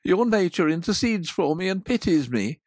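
A woman voicing a frightened old miser who pleads loudly and urgently, in a dry, close recording. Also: fairly low pitch, very animated, normal speed, breathy edge, dry recording. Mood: beseeching. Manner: loud, urgent, beseeching.

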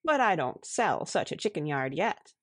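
A woman voicing a shrewd, good-natured, calm housewife who speaks wryly in foreign-tinged broken syntax. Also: low-pitched, normal speed, dry recording, studio quality. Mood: wry suspicion.

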